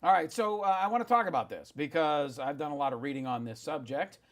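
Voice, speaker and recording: A semi-retired man hosting a financial talk radio show, pressing his guest with quick questions and time limits. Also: a little high, expressive. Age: older.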